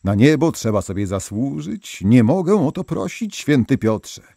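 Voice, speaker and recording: A man's voice: mid-range voice, sing-song, moderate pace, gravelly, mellow, no room sound, studio quality. A man voicing a modest, polite and stubborn young peasant boy in a folktale. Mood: modest, earnest.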